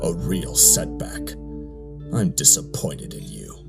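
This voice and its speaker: Guy doing bossy robot officer, really low voice, humorless and reproachful, loud music behind. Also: very animated, takes it slowly, vocal fry, full character voice. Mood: stern, disappointed. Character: stern, controlling male robot military strategist. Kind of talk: motion comic voice acting.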